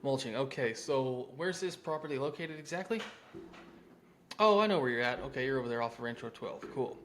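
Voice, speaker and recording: A man's voice: medium pitch, lively intonation, fairly quick, a bit bright, slight background noise. A man with an American accent coaching contractors in an informal, conversational, salesy way, mixing instruction with sample phone-script lines.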